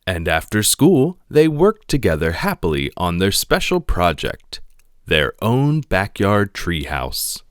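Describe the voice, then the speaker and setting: A man reading a children's picture book aloud in an expressive narrating style. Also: low voice, slow pace, smooth, dry recording, clean studio sound. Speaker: storybook reader.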